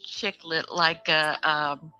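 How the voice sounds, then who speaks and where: A woman's voice: low voice, level tone, takes it slowly, bright, forceful tone, smooth, faint music behind, poor audio. A woman with a New York accent calling in to a talk show, chatty and playful as she asks her question. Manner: chatty and playful, laughing often. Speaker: caller. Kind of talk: call-in question to a guest.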